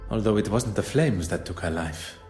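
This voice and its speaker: A man voicing a courteous, inquisitive detective with a French accent in a video game, formal and measured. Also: on the low side, expressive, darkish tone, echoey room, natural voice. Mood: grave, factual. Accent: French-speaking. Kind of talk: scripted video game dialogue acting. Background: music.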